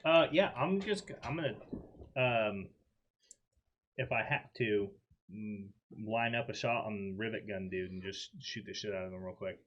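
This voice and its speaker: A man's voice: fairly low pitch, normal speed, a bit bright, muffled recording. A man chatting casually as a player in a tabletop roleplaying game. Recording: noisy home recording with clicks.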